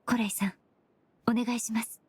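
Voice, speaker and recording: A woman playing a composed young female investigator in an anime game, speaking with gentle, formal, measured politeness. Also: fairly high pitch, level tone, background noise, natural voice. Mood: composed, reassuring.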